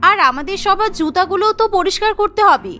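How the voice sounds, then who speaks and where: A woman voicing a cheerful, stubborn young housewife in a cartoon story, chattering briskly and insistently. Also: very high voice, lively intonation, sharp tone, full character voice. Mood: apologetic, insistent. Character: busy, cheerful, stubborn young Bengali housewife and daughter-in-law.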